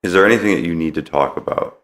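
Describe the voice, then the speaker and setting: A man's voice: deep voice, quick pace, a bit bright. A man hosting a podcast interview in a sincere conversational way.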